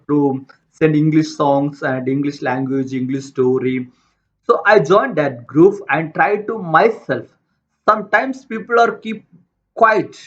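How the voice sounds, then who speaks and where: A man with a Tamil accent, a native Tamil speaker from India, talking about his own life in a halting way, with many restarts and repeated words. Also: a little high, a bit bright. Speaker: motivational vlogger. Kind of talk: unscripted personal talk. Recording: dull lo-fi sound.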